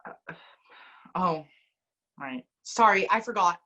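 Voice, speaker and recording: A woman's voice: medium pitch, takes it slowly, sharp tone, lo-fi sound, voice pushed down, full character voice. A woman playing a guilt-ridden apologetic young man. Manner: apologetic, hesitant, tearful. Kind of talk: amateur audio drama.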